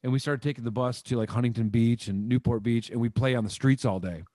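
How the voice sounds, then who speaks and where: Musician guy from michigan rambling through stories on a video call, mid pitch, super dry close mic. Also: quick pace, no room sound. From Michigan, USA. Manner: animated, rambling, lots of "like" fillers and gestures. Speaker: guest musician. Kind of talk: casual interview storytelling. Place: home room, indoors. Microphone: studio mic on boom arm, video call.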